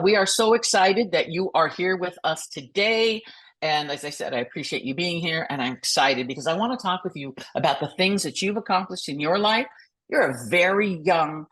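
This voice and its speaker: A woman's voice: low voice, a bit bright. A woman hosting a motivational podcast as a coach, enthusiastic and effusive, who sometimes stumbles over words while reading.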